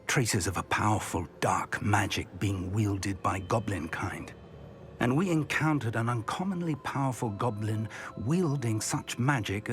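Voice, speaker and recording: A man's voice: lowish voice, close to an everyday voice. A man voicing a professor and mentor who speaks politely and earnestly.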